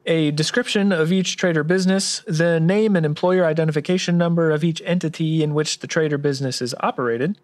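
A man's voice: fairly high pitch, a little fast, hardly any pauses, natural voice. A man playing an obliging tax explainer sidekick, giving orderly scripted explanations in a radio drama. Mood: matter-of-fact. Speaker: tax expert co-host.

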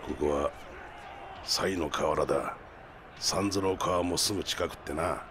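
An actor playing a shrewd older underworld information broker in a game cutscene, with sly, rough, expansive gangster talk. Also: male voice, extremely low pitch, steady tone, measured pace, a bit bright, slight background noise, full character voice. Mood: darkly matter-of-fact. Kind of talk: video game cutscene acting. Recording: music behind the voice.

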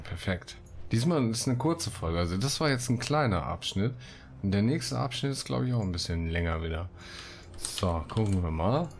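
A man's voice: deep voice, a little hiss or hum. A man giving casual let's play commentary and reading quest text aloud, with playful colloquial asides. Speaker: gaming video host.

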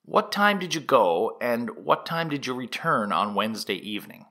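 An actor playing a methodical nineteenth-century prosecutor in a courtroom drama, questioning formally and precisely. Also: male voice, mid-range voice, sing-song, moderate pace, sharp tone, exaggerated voice. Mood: formal, probing. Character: methodical, probing 19th-century male prosecutor questioning a witness. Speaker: actor reading a trial lawyer. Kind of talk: dramatic reading of courtroom transcript.

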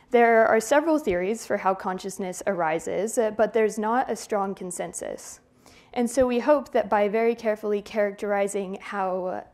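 A young woman in her twenties presents her research from a lecture hall podium. She speaks in a clear, measured lecturing manner into a podium microphone, with a mid-range voice. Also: mid-range voice, darkish tone. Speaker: PhD student presenting research. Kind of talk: conference talk.

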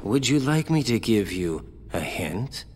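A man's voice: on the low side, sing-song, a little slow, a little hiss or hum. A man voicing a smug, cryptic villain who taunts and speaks in riddles. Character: smug, cryptic adult man of a dark order. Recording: loud game music behind.

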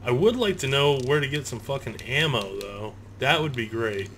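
Animated man commentating a video game, noisy background. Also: medium pitch, normal speed. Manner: informal, profane, talking to himself. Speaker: gamer recording a playthrough. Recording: clear background noise, game ambience.

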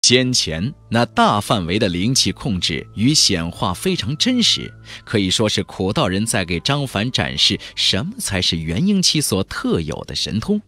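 A man's voice: on the low side, very animated, normal speed, few pauses, bright, forceful tone. A man narrating a fantasy audiobook in a dramatic, scripted storytelling style.

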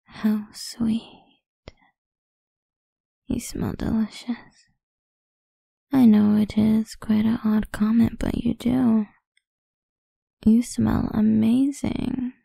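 Woman acting a breathy, whispering seductive vampire idol. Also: fairly high pitch, level tone, dark tone, dry recording, full character voice. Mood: coaxing, seductive. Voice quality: breathy, husky, often whispering. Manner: slow, teasing, coaxing. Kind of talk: ASMR roleplay, intimate and whispered. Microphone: close studio-style microphone, dry.

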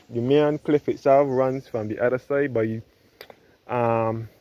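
A man's voice: medium pitch, dark tone. Man guiding a tour, lo-fi outdoor sound. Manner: repeats and restates phrases as he explains. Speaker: travel vlogger giving a walking tour. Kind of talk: informal guided tour narration. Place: outdoors in a churchyard on a cliff. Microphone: handheld phone camera, held by the speaker. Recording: dull lo-fi outdoor phone audio, background noise.

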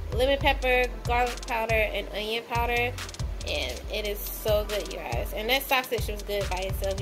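A woman in her twenties chatting informally to viewers as she cooks in a home kitchen, recorded on a handheld camera. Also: fairly high pitch. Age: 20s. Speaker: home cooking vlogger. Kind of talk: casual cooking vlog chat. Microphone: handheld camera at arm's length.

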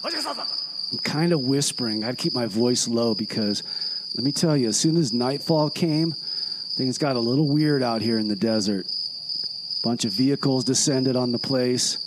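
A man playing a chatty stranded time traveler, giving a casual, hushed, confiding report in radio comedy. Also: medium pitch, animated, normal speed, very noisy, lightly acted. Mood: hushed, uneasy. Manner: hushed, confiding, laid-back. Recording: loud night-time insect ambience. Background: crickets and outdoor ambience.

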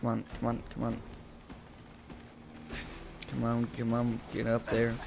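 A man casually commenting as he plays a video game, chatty and talking himself through each move, his voice gravelly after just waking up. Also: medium pitch, completely flat delivery, measured pace, background noise. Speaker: gameplay commentator. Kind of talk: casual let's-play commentary. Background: game music and sound effects.

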